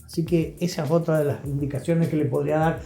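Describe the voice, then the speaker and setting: Man, Argentine accent, mellow timbre, emphatic advice-giving, repetitive phrasing, echoey room, faint music. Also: on the high side, steady tone, quick pace, gravelly. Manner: emphatic, repeats phrases for effect. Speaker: interview guest, health professional. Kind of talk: conversational advice in an interview. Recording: roomy echo, music behind.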